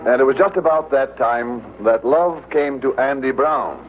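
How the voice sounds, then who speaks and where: A man's voice: medium pitch, moderate pace, faint music behind, close to an everyday voice. A man performing as a polished storyteller, reading scripted narration for an old radio sitcom. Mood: narrating. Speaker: announcer-narrator. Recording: narrow-band vintage radio recording, faint hiss.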